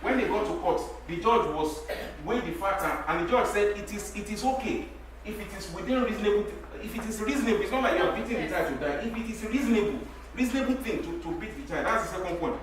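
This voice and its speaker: A man's voice: high-pitched, fast, slight background noise. Nigerian man in an echoey church hall. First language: Yoruba. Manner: animated, repeats words, laughs while making points. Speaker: panel speaker at church talk show. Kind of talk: lively church panel talk. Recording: very echoey hall, mic from a distance.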